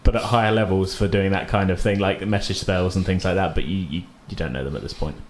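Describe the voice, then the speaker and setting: A man's voice: low-pitched, fairly even intonation, quick pace. A man improvising narration as a game master, feeling his way through sentences piece by piece. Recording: faint background noise.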